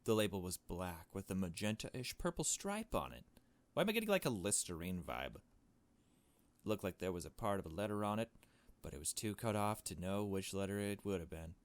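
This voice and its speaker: A man's voice: low-pitched, big pitch swings, fairly quick. A man reading a visual novel game aloud and commenting casually, with wry, joking asides. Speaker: gaming video host.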